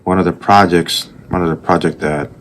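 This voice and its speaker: A man's voice: deep voice, fairly even intonation, moderate pace. A man from Puerto Rico with a strong Puerto Rican accent, telling rambling stories with restarts as he talks through his sketchbook art in a casual voice-over. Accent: Puerto Rican Spanish. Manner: rambling storytelling with restarts and asides. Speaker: artist narrating his sketchbook process.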